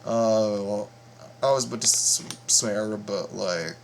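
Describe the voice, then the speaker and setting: A man giving casual, excitable fan commentary in a halting, repetitive way, full of exclamations. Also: on the low side, creaky, a little hiss or hum. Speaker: YouTube reactor and fan commentator.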